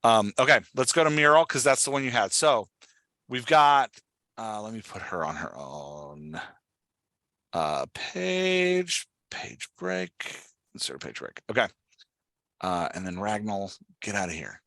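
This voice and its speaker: Bright-voiced man coaching, close and dry. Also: fairly low pitch, very animated, unhurried, dry recording. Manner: instructive, prodding with questions. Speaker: podcast host and dialogue writing coach. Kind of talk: casual one-on-one coaching talk.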